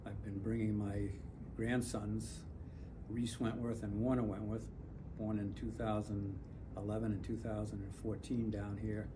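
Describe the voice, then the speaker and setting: An older man and retired airline captain, speaking in a measured, reflective way with pauses, in a community testimonial. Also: lowish voice, level tone, background noise.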